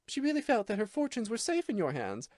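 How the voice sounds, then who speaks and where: A man's voice: high voice, huge pitch swings, brisk, a little mellow, dry recording, clean studio sound, pitched above the performer's own voice. A man voicing a cool, scornful young woman who speaks with mocking disdain. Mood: cold scorn.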